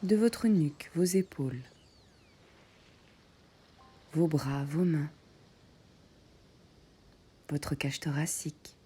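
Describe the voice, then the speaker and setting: A woman guiding a meditation in soft, breathy short phrases with long pauses, often close to a whisper. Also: sits low. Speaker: yoga nidra meditation guide. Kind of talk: guided meditation.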